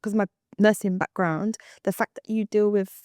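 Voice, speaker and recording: A woman's voice: medium pitch, fairly even intonation, normal speed, darkish tone, no echo, studio quality. A woman hosting a casual interview, chatty with many fillers. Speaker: interview host.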